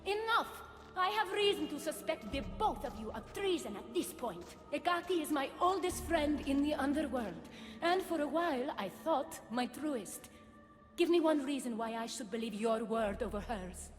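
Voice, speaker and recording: A haughty underworld queen for a video game. Her high voice rings through a long, reverberant hall over music, commanding and cutting, always suspicious of those beneath her. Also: lively intonation, moderate pace. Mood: furious. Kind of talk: dramatic video game acting.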